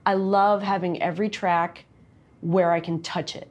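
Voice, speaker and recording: A woman with a nasal, loud voice speaks in a casual, candid interview. Her talk is chatty and quick, full of asides. She sits a bit low in pitch for a woman. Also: flat delivery. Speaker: songwriter and recording artist guest.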